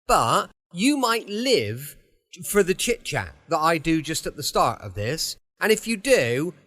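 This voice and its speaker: Male podcast host, high and bright, lively swings, chatty. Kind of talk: relaxed chatty podcast introduction.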